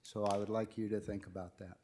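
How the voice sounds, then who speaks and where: A man's voice: fairly low pitch, level tone, fairly quick, darkish tone, slight background noise. A man giving an earnest, admonishing public comment to county officials.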